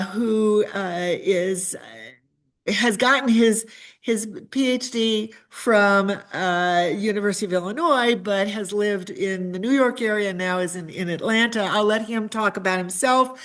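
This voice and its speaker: A woman's voice: mid-range voice, a little slow. A woman hosting a discussion group on a video call, introducing the guest speaker with some hesitation and fillers.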